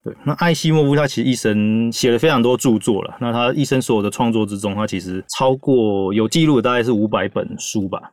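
A man's voice: medium pitch, quick pace, few pauses, a little mellow, dry recording, clean studio sound. A man with a Taiwanese accent hosting a podcast, explaining things casually and chattily with little asides. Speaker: podcast host.

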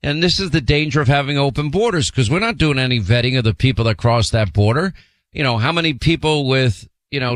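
A man hosting a call-in radio show, talking emphatically and slowing down to stress his points. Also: mid-range voice, a bit bright, no echo. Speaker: talk radio host. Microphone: studio microphone.

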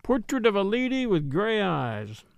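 A woman voicing a bitter, sardonic English gentleman with a clipped, dry, mocking manner, in a storybook reading. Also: lowish voice, big pitch swings, normal speed, no room sound. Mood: dry, reflective.

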